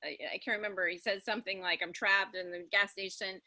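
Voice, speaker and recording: A woman actor casually talking through ideas, telling things in an animated way. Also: medium pitch, fast talker, no echo. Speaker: improv audio drama actor. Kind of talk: casual creative discussion.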